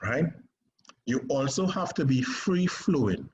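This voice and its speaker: A man with a Trinidadian accent teaching a stage acting class, lively and often asking "right?". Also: mid-range voice, measured pace. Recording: slightly dull recording with faint noise.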